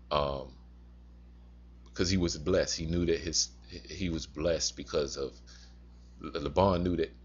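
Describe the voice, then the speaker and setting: Man, extremely low voice, dull muffled recording, religious teacher, informal advice talk, halting and cautioning delivery. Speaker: religious teacher advising men.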